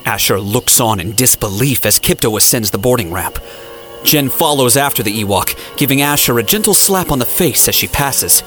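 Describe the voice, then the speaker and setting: A man's voice: medium pitch, lively intonation, normal speed, a bit bright. A man reading scripted, dramatic story narration for an audio drama. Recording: music playing behind the voice.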